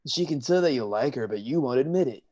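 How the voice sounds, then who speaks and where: A man's voice: medium pitch, lively intonation, quick pace, warm tone, muffled recording, lightly acted. A man playing a laid-back, teasing young surfer-dude sea god in a staged play reading, talking in a slangy drawl.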